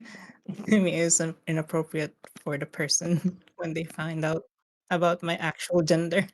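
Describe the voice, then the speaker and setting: A man with a Filipino English accent and a naturally high-pitched voice, chatting playfully with his raid group over a voice call. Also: little pitch movement, measured pace, poor audio. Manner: playful, teasing, laughs often. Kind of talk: casual voice chat while gaming.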